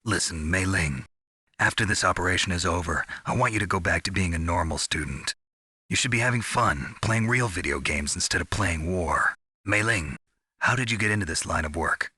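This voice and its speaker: An actor playing a gruff, world-weary covert soldier in a video game, terse and deadpan with a gravelly voice. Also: male voice, low voice, normal speed, no echo, studio quality, heavily acted. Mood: stern concern. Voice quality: gravelly, husky. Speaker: soldier on a mission. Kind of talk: scripted video game voice acting.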